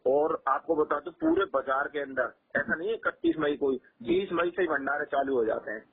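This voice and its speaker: A man speaks over a narrow, tinny phone line. His voice sits fairly high and is a little husky. He talks earnestly and emphatically, often repeating phrases to press his point. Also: huge pitch swings, moderate pace. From Rajasthan, India. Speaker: local journalist and community activist, being interviewed. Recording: tinny, muffled phone call recording.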